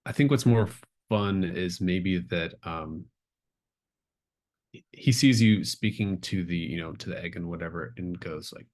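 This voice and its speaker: Low-voiced man running a tabletop game. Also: measured pace, darkish tone. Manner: hesitant, searching for words as he improvises. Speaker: game master. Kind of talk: improvised tabletop game narration.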